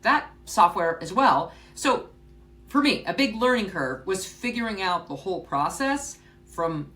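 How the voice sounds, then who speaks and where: A woman's voice: medium pitch, a bit bright. A woman hosting her own podcast, talking alone to her listeners in a chatty way, often repeating words, restarting her sentences and laughing now and then. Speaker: podcast host. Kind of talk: solo podcast reflection.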